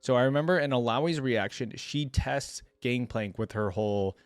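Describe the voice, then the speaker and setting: A man's voice: mid-range voice, normal speed, dry recording. Casual man reacting, very dry close sound. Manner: conversational, muses aloud. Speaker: reaction video host. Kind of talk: casual reaction commentary, reading aloud with asides.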